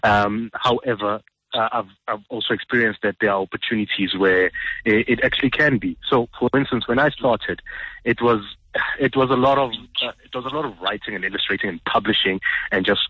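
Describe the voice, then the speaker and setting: A man's voice: on the low side, fairly quick, bright, forceful tone, slightly rough, no echo, highs cut off. A South African man answering as an interview guest in a hesitant, explanatory conversational way. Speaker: guest, writer and illustrator.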